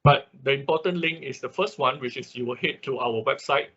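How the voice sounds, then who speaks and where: A man giving an informal online class as an instructor, speaking haltingly with a husky voice and frequent repeats. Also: medium pitch, sharp tone. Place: online video call. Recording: dull lo-fi online meeting audio.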